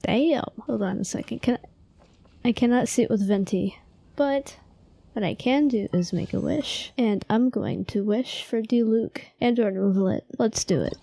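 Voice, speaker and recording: A young adult woman giving casual, low-key gameplay commentary, sounding tired. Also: expressive, unhurried, creaky, mellow, no room sound. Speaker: gaming video creator. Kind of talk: casual let's-play commentary. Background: faint game music.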